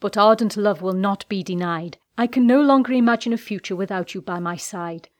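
A woman playing a proud, ardent young gentleman in an audiobook, speaking with formal, declamatory intensity. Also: mid-range voice, a little fast, natural voice.

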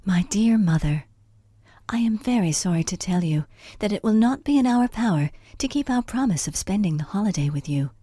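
A woman playing a polite, formal gentlewoman reading out a period letter in a measured, apologetic way. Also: mid-range voice, expressive, breathy edge, no echo. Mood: politely regretful. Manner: measured, genteel.

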